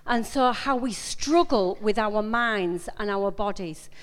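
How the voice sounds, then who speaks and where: A woman's voice: mid-range voice, slow. A woman with a Yorkshire accent giving an informal, personal sermon, emphatic and conversational, repeating phrases and putting questions to her listeners. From Yorkshire, England. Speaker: preacher. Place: large indoor meeting room. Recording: echoey hall with long reverb.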